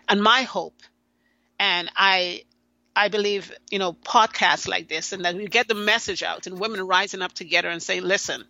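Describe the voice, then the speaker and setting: Woman from guyana on a podcast, bright tense voice, dry kinda dull audio. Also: medium pitch, dry recording. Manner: earnest, halting with many restarts. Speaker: podcast guest. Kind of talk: conversational interview.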